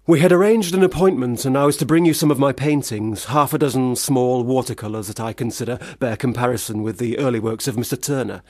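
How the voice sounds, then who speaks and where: An actor playing a troubled, self-doubting young man who drinks, theatrical, swinging between loud protest and muttering in a radio play. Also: mid-range voice, no room sound, studio quality, lightly acted.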